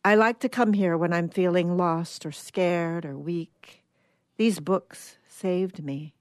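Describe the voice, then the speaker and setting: A woman plays an earnest survivor in a dramatic story, reflective and hopeful. The voice is lowish and very unhurried, recorded dry. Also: dry recording. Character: reflective, hopeful survivor about to leave for Mars. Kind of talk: dramatic short story reading.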